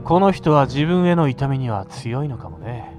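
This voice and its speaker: A man's voice: medium pitch, sing-song, moderate pace, music in the background, close to an everyday voice. A man acting a polite, taunting interrogator's accomplice in an amateur radio drama. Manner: courteous, taunting. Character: smoothly polite male interrogator, menacing accomplice.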